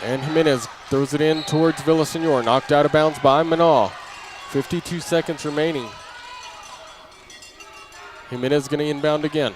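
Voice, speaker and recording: A man's voice: a little high, steady tone, moderate pace, long pauses. A man doing live play-by-play basketball commentary in short, clipped running calls, as a sports announcer. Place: indoor gymnasium during a basketball game. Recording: noisy live gym broadcast.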